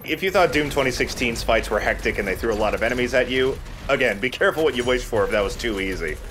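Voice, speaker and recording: A man's voice: medium pitch, lively intonation, fast talker, noisy background. A man hosting a let's play with casual, conversational, explanatory commentary.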